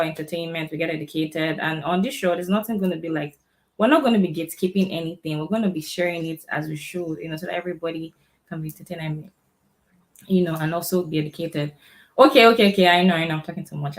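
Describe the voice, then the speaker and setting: A woman hosting a talk show in a casual, chatty way. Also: lowish voice.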